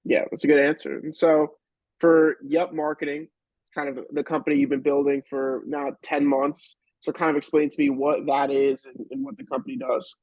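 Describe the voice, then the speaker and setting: A man's voice: a little high, normal speed, vocal fry, darkish tone, no echo, low-quality recording. A man hosting an interview podcast, asking long, rambling questions with enthusiasm and frequent restarts. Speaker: podcast host.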